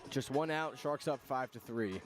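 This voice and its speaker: A man's voice: mid-range voice, normal speed, music in the background. A man calling live baseball play-by-play on the radio in clipped phrases, following the action as it unfolds.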